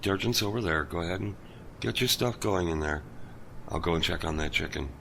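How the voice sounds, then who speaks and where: An actor playing a kind, lonely older father who lost his son, speaking gently with halting pauses. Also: sits low, gravelly, noisy background. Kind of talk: audio drama acting.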